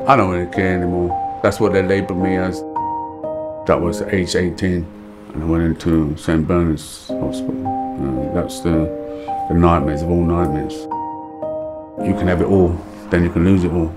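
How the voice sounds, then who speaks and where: A man's voice: sits low, level tone, normal speed. A middle-aged man, talking candidly and casually in a documentary interview. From London. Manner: candid, street-casual talk. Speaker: project member, musician. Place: indoor art room. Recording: music bed underneath.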